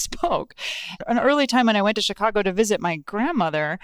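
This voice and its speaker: A woman, an author whose first language is Lithuanian, telling stories in a lively, casual way with frequent laughs. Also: mid-range voice, clean tone, no room sound. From Texas, USA. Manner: animated, laughs often, many fillers. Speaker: author and podcast guest. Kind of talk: casual conversational storytelling.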